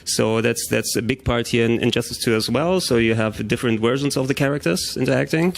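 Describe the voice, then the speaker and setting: A man's voice: medium pitch, brisk, vocal fry, no echo. A man conducting a casual, chatty interview.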